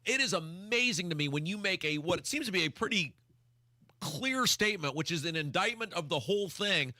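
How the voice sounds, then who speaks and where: Bright-voiced male talk radio host, emphatic. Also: sits high, animated, brisk, no echo, studio quality. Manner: exasperated, emphatic, rhetorical questions. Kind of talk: opinionated talk radio commentary.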